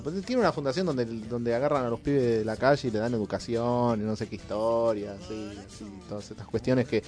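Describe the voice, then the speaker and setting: A man hosting a comedy radio show in casual chat, halting and repeating himself as he goes. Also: medium pitch.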